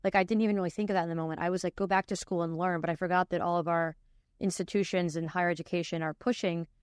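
Woman podcast host, casual reaction commentary, slightly low pitch, dry booth sound, chatty with filler words. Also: steady tone, rapid-fire, clean tone, no room sound. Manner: chatty, frequent "like" fillers and restarts.

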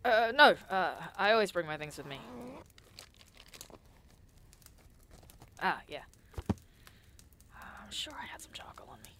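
A woman's voice: on the low side, expressive, normal speed, noisy background, natural voice. A woman playing an eager, easygoing young artist in an audio drama, casual and a little hesitant. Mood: polite, hesitant.

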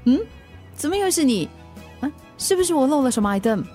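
A helpful, upbeat woman who delivers food in a radio drama. Her voice is fairly high and she chats with a Singaporean accent, dropping in English words. Music sits lightly behind her. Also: very animated, a little fast, dark tone. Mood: breezy, brisk. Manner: chatty, mixes English words into Mandarin. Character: cheerful helpful food delivery rider, a mother. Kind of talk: naturalistic Mandarin radio drama acting.

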